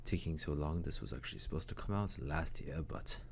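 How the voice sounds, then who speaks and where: A man's voice: a bass voice, animated, fairly quick, gravelly, slight background noise, exaggerated voice. A man voicing a formal, droll storyteller host who narrates an audio drama in a theatrical, dry way. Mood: apologetic, droll. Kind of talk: scripted narration.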